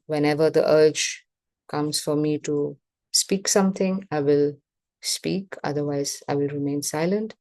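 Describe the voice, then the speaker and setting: A woman leading a guided meditation as facilitator, speaking in measured short phrases with pauses between them. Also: sits low, fairly even intonation, warm tone, dry recording.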